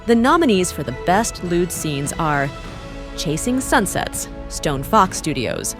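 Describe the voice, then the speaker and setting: An announcer reading out lists of award nominees slowly. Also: mid-range voice, very animated. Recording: music underneath.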